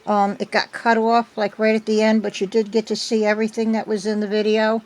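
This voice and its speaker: An older woman with a slightly hoarse voice from a cold, chatting at home into her phone in a meandering way, with many ums. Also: medium pitch, flat delivery, brisk, a little mellow. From United States. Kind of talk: casual chat. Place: at home indoors.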